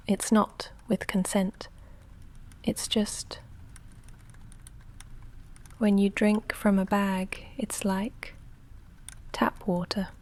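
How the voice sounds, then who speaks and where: Woman playing a shy female vampire roommate, very slow, soft breathy half-whisper, hesitant, self-restrained, ASMR roleplay. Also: mid-range voice, lightly acted. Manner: hesitant, halting, confessional. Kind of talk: intimate ASMR roleplay monologue.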